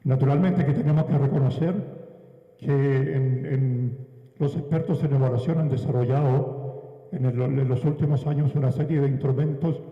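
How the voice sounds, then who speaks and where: Man lecturing in an echoey hall. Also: mid-range voice. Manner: measured, with frequent "eh" fillers. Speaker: conference speaker. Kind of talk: academic lecture. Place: large conference hall. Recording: echoey, dull hall sound.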